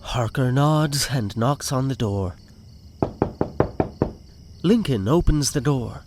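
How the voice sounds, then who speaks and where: A man's voice: mid-range voice, takes it slowly, heavy background noise. A man reading scripted narration for an audio drama. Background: music.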